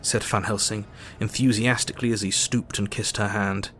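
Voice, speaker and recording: An actor playing a composed Victorian doctor, narrating his diary in measured, formal storytelling. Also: on the low side, fairly even intonation, a bit bright, a little hiss or hum, close to an everyday voice. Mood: composed, recounting. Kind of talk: scripted narration.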